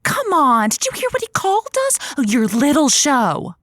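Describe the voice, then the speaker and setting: A woman's voice: high voice, wildly swooping pitch, moderate pace, fairly bright tone, dry recording, clean studio sound, heavily acted. An actress playing an effusive, prickly woman who hosts a lonely hearts radio show, hesitant and sarcastic, in an audio comedy. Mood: indignant. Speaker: radio call-in host. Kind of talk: scripted audio comedy acting.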